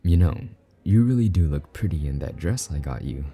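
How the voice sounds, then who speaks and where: Very deep, dark-voiced possessive demon lover played by a man. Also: expressive, breathy edge, full character voice. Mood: possessive, proud. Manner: taunting, doting, intimate, drawn-out teasing. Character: possessive, teasing male demon lover with soft wings. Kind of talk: intimate scripted roleplay monologue.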